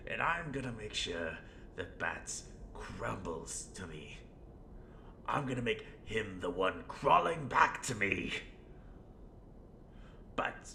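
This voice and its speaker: Male amateur performer as scheming clown villain, low gravelly voice, sardonic rambling, erratic muttering and outbursts, roleplay monologue, roomy echo. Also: heavily acted. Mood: menacing, gloating. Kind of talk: in-character audio roleplay monologue. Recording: roomy echo, faint rumbling background noise.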